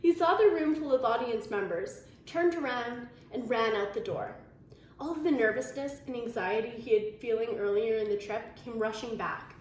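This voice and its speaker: A woman reading a children's story aloud in an expressive storytelling manner. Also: fairly high pitch, moderate pace. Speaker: storybook reader. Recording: very echoey room, faint noise.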